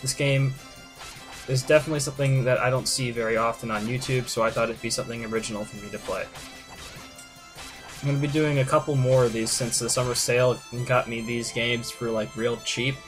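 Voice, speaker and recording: A man hosts a video game playthrough in a casual, off-the-cuff way. His voice sits at an average male pitch, and he rambles a little, hesitating as he thinks aloud. Soft music plays behind him. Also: fairly even intonation, brisk. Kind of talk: casual gaming commentary.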